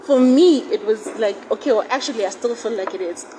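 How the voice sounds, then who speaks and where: A woman's voice: fairly high pitch, brisk. Woman guest, frank casual podcast chat, noisy recording. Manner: frank, lots of fillers like "you know". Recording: noisy background.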